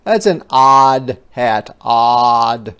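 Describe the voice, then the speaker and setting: A teacher reading aloud to young children in a phonics lesson, enunciating letter sounds and words with exaggerated care and adding playful, expressive asides. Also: medium pitch, extremely slow, clean tone.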